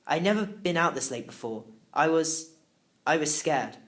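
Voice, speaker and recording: A man narrating a children's novel in the first person, expressive and theatrical. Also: a little high, moderate pace. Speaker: audiobook narrator.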